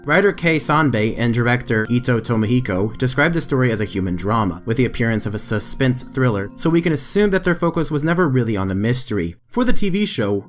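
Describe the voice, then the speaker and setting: A man reading anime trivia as a scripted voice-over, with emphatic lifts in his delivery. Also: on the low side. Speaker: anime trivia video narrator. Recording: faint background music under voice-over.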